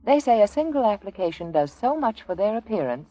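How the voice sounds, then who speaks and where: Mellow, lilting woman radio advertiser. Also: mid-range voice, very animated, fairly quick, dry recording. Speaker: advertisement announcer. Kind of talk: 1940s radio commercial, scripted pitch. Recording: dull, dry 1940s radio broadcast.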